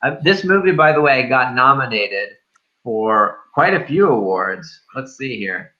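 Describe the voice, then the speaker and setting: A man chatting casually about films as a podcast host, in a hesitant, halting manner. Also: medium pitch, big pitch swings, sharp tone, highs cut off. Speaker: film review podcast host. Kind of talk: casual movie discussion.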